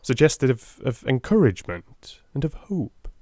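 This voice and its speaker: A man reading a detective audiobook as narrator, in a theatrical, measured storytelling style. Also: medium pitch, very animated, mellow, dry recording, studio quality. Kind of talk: dramatic scripted narration of a classic detective story.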